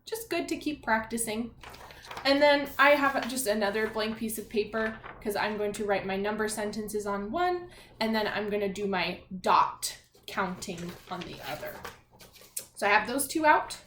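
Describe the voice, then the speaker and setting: A woman teacher talking young children through a read-aloud and math lesson in an animated, encouraging, sing-song way. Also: medium pitch, moderate pace. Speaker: primary school math teacher. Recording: echoey room, faint background noise.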